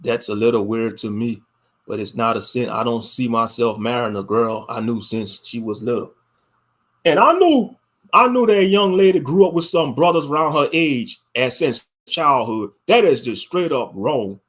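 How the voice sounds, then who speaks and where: A man's voice: medium pitch, animated, moderate pace, a bit bright. A man with a Louisiana accent talking casually as a livestream host, colloquial and given to repeating phrases for emphasis. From Louisiana, United States. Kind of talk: casual livestream commentary reading viewer comments.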